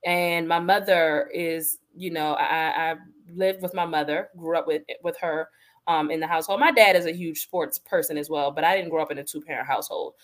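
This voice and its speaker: A woman's voice: deep voice, fairly even intonation, brisk. A woman in her thirties from the Washington, D.C. area, a sports media guest chatting casually and animatedly with many fillers and laughs. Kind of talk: casual interview conversation.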